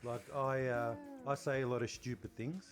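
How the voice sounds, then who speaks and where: A man, co-hosting a podcast and asking questions in a casual, halting way. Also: medium pitch, level tone, music in the background. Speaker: podcast co-host. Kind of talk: casual chat, interviewing.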